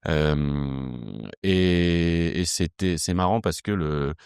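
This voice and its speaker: A man around forty from southern France with a low, smooth voice, recorded close and dry. He speaks casually in an interview, doubling back on words and searching for the next one. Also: steady tone, very slow, darkish tone, no echo. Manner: hesitant, self-correcting, repeats words as they think. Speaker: podcast guest, communication agency director. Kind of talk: casual interview, recounting background.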